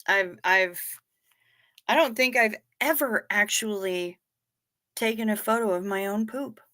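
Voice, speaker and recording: A woman's voice: medium pitch, unhurried, sharp tone, no room sound, clean studio sound. A woman in her fifties hosting a casual livestream, reading chat comments aloud in a chatty, wry way with casual swearing. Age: 50s. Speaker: livestream host.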